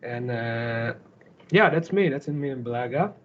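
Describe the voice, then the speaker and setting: A man's voice: mid-range voice, expressive, normal speed, a little hiss or hum. A man from Sarawak with a Malaysian accent, giving an informal, conversational slide talk as a guest speaker on an online call. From Kuching, Sarawak, Malaysia. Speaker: guest speaker, startup founder. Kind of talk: casual slide presentation. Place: online video call with screen sharing. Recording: dull lo-fi online call audio.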